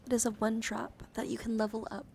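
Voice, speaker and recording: A woman hosting an ASMR livestream, slipping between whispering and soft speech in a gentle, chatty, encouraging way as she shows things to viewers. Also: a little high, little pitch movement, a little fast, creaky, a little hiss or hum. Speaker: livestream host. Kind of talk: whispered ASMR, showing and reading out trading cards. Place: indoor livestream, hands-on camera.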